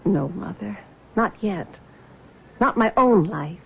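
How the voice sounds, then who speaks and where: An actress playing a troubled, grieving young woman in a vintage radio drama, speaking softly and hesitantly. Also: mid-range voice, lively intonation, slow pace, creaky, slightly rough, exaggerated voice. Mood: resolved. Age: young adult. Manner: soft, hesitant. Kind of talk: 1970s radio drama acting. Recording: dull old radio broadcast with faint hiss.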